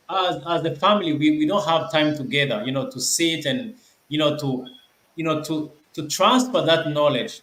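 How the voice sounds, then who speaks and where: A man's voice: a little high, fairly bright tone, echoey room, poor audio. A man hosting an online group call, talking casually with many restarts and repeated words. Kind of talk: casual live call talk.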